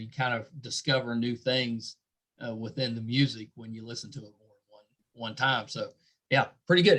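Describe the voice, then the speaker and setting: A man's voice: mid-range voice, fairly bright tone. A middle-aged man hosting a casual interview, talking in an encouraging, complimentary way. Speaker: podcast host interviewing a band.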